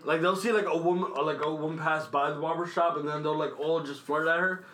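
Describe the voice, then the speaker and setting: A young man with a slightly high voice tells a meandering story in loose, slangy talk. He pauses to hunt for words and circles back, in an easy podcast chat. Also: steady tone, a bit bright. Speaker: podcast co-host. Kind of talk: casual storytelling.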